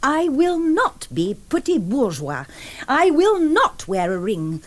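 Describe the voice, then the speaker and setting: An actress playing a romantic, wilful young bride-to-be in a period radio drama, gushing and imperious. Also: female voice, high-pitched, very animated, takes it slowly, heavily acted. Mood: wilful, teasing. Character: romantic, wilful, theatrical fiancée, then neglected new bride.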